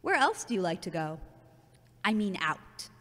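A woman's voice: medium pitch, sing-song, measured pace, fairly bright tone. A woman playing a forward, friendly, flirty woman in an audio drama. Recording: long echoey reverb.

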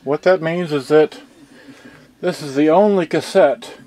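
A man giving an unscripted hands-on demonstration, speaking haltingly in short pieces with pauses, from behind a handheld camera indoors. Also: fairly high pitch, dark tone. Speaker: hobbyist presenter showing his boombox collection. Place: indoor workshop with shelves and benches.

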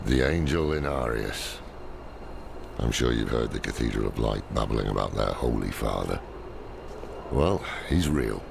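An actor playing a gruff, weary, cynical older man in a dramatic video game. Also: animated, noisy background, heavily acted. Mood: grim. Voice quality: deep, gravelly. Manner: slow, grave, world-weary. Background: game music.

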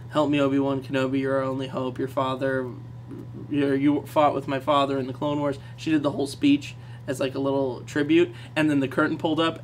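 A man co-hosting a podcast in casual chat. He is excitable and laughs often. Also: mid-range voice, slight background noise. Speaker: podcast co-host.

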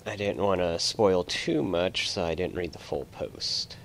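A man's voice: sits low, animated, measured pace, creaky, fairly bright tone, a little hiss or hum. A man giving casual video game commentary, mumbling and muttering to himself as he plays.

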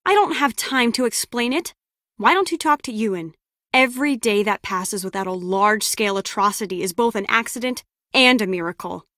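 Female spy, video game character, higher-pitched, sardonic, bossy, guarded, curt, dry close recording. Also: lively intonation, a little fast, a bit bright, no echo. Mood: serious, urgent. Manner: curt, biting, sardonic delivery. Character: brusque, sarcastic female lone-wolf spy, guarded and bossy. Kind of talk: scripted game character dialogue.

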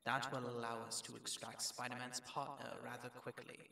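A silky, scheming trickster god, played by a man for an audio roleplay. His formal, deliberate words come with a crisp bright edge, some creak and a strong echo. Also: medium pitch, little pitch movement, pitched below the performer's own voice. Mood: pleased, scheming. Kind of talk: fan audio roleplay acting.

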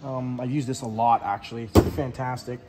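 A man's voice: medium pitch, little pitch movement, fairly quick, heavy background noise. A young man, a heavy diesel mechanic casually walking through his tool box in a shop garage, rambling and swearing easily.

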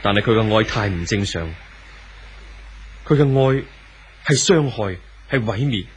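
An actor playing an earnest, brooding young lover in a 1970s radio drama, solemn and poetic, with a Hong Kong Cantonese accent. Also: male voice, fairly low pitch, lively intonation, unhurried, slightly rough, exaggerated voice. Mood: anxious, dread. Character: earnest young romantic man, idealistic and brooding.

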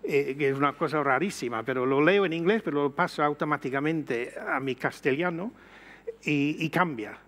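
An older man from Britain with an English accent and English as his first language, speaking measured and explanatory as a panel guest on an auditorium stage. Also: a little high, a bit bright. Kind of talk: panel discussion. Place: auditorium stage before an audience.

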